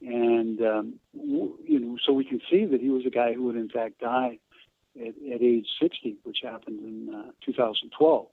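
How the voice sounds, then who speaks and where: An American man of around seventy telling stories in an interview, halting and digressive, often pausing mid-sentence. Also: medium pitch, expressive, creaky, no room sound. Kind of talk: conversational interview storytelling. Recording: narrow phone-like line.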